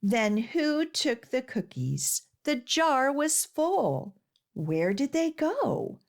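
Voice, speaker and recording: A woman leads a storytime for small children in an unhurried read-aloud style. Her phrasing is deliberate and sing-song, and her pitch swings widely as she tells the rhyme. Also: sing-song. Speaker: children's storytime host reading picture books.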